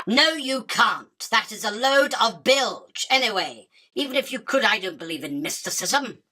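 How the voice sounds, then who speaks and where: A woman's voice: on the high side, animated, moderate pace, pushed and bright. A woman playing a haughty, hungry spider queen in a radio drama, speaking with dry scorn and royal command. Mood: sceptical, dry. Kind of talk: illustrated radio drama acting.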